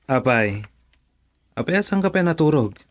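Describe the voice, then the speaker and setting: An actor playing an easygoing, tipsy husband in an Ilocano radio drama, jovial and drawling, with an Ilocano accent. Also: male voice, fairly high pitch, big pitch swings, normal speed. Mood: tipsy, casual. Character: easygoing, tipsy husband home late from drinking.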